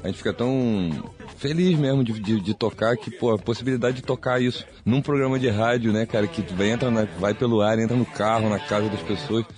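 A man's voice: fairly low pitch, brisk, music in the background. A man with a Brazilian accent, a musician speaking casually and gratefully about playing on radio. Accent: Brazilian Portuguese. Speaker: musician guest.

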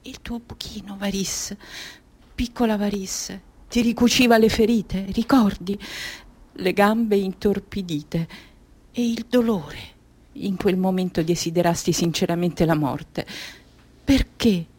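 An actress playing an anguished Somali woman reliving childhood in a radio drama monologue, halting and repeating words like an incantation. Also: medium pitch, a little mellow, slightly rough. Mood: haunted, hushed.

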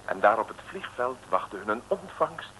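A man's voice: medium pitch, sing-song, moderate pace, sharp tone, a little hiss or hum, rough recording. A man narrating a comic radio serial in an ornate, mock-heroic scripted style. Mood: wry, grand. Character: jaunty male storyteller of a comic serial. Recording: old 1950s radio recording. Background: music.

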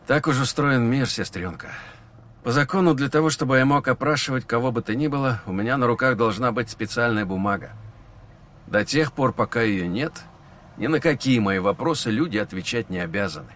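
A man playing a patient police lieutenant who talks in a measured, explanatory way. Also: mid-range voice, fairly bright tone, slight background noise, close to an everyday voice. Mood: explaining. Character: weary young male police lieutenant, patient. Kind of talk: audio drama acting.

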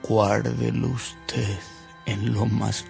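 An actor playing a man who recounts anecdotes in a radio drama, with an unhurried, theatrical delivery. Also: on the low side, little pitch movement, creaky, heavily acted. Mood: reverent storytelling. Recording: music bed under the voice.

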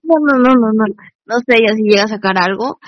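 A dull, muffled phone-call recording with a dry, close sound. A woman with a fairly high voice chats informally. She is insistent and tends to repeat short phrases. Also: fairly quick, no room sound. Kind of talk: casual private phone conversation. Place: phone call, one side heard.

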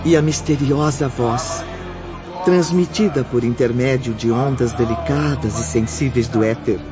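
Male narrator, slow and solemn, muffled lo-fi sound with music under it. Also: mid-range voice. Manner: measured, solemn. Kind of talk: scripted dramatic narration.

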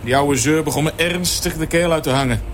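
Amid clear car noise, an actor plays an eager-to-please male cabbie in a radio drama. He is deferential and agreeable, with a fairly high voice. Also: a little fast, natural voice. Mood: obliging. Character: obliging, deferential male taxi driver, fed up. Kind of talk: radio play acting.

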